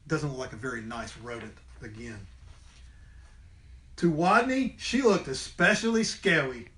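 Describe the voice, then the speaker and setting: A man's voice: mid-range voice, lively intonation, a little slow, bright, forceful tone. A man reading a children's story aloud expressively, like a teacher to young listeners. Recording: roomy indoor echo.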